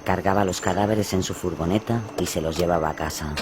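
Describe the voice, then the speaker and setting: A man's voice: low-pitched, fairly quick. A man reading scripted, dramatic true-crime narration as the narrator of a radio horror play. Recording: music behind the voice.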